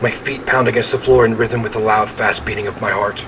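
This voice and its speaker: A man's voice: fairly low pitch, steady tone, a little fast, fairly bright tone. Theatrical man narrating over noise. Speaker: game player reading a visual novel aloud. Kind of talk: dramatic read-aloud narration with offhand reactions.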